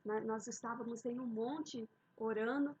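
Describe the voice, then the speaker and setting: A woman preaching a sermon, opening with a fervent prayer and often addressing her listeners warmly. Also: fairly high pitch, steady tone, unhurried, husky, highs cut off. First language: Portuguese. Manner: fervent, repeated "queridos" and "ó Pai".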